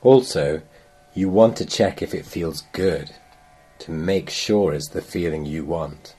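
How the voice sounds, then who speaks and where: A man with a very low, dark voice leads a guided meditation. He speaks very slowly and gently, leaving long pauses between measured phrases. The tone is mellow and a little breathy. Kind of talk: guided meditation, slow soothing instruction.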